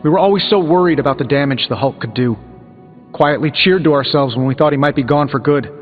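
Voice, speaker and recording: A man playing a brooding, combative superhero inventor in a video game, sharp and sarcastic in manner. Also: a little high, fast, faint music behind, close to an everyday voice. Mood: bitter, brooding. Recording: dull game audio, long reverb.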